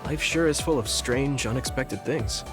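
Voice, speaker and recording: An actor playing an earnest, gentle, idealistic young soldier in a video game, talking sincerely and a little hesitantly. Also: male voice, mid-range voice, moderate pace, music in the background, lightly acted. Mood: thoughtful. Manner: sincere, conversational, slightly hesitant. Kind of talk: English video game voice acting.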